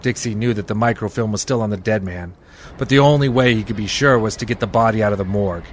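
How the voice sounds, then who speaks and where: An actor playing a wry, hard-boiled private detective in 1940s radio noir style, deadpan and terse. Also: male voice, fairly low pitch, quick pace, slight background noise, close to an everyday voice.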